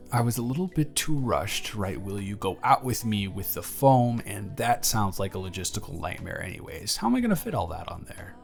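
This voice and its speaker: A man's voice: on the low side, gravelly, heavily acted. A young man playing a friendly, smitten male barista in an intimate romantic audio roleplay, earnest, conversational and slightly flustered. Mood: playful, nervous. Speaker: voice actor.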